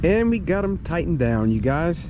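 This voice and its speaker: A hoarse man with a cracking voice, chatting casually to his viewers on a farm vlog and often saying "you guys". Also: fairly high pitch, big pitch swings, moderate pace, noisy background. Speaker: farm vlogger. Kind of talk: casual vlog talk to camera.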